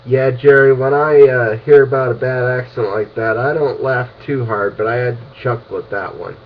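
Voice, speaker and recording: A man's voice: medium pitch, steady tone, moderate pace. A man talking casually as an amateur radio operator over a two-way radio. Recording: scratchy, muffled ham radio transmission.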